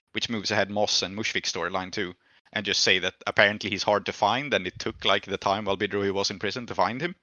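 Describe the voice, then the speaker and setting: A man's voice: lowish voice, bright, forceful tone, no room sound, dull audio. A man game-mastering a tabletop roleplaying session, casually thinking aloud and explaining his proposals as he goes.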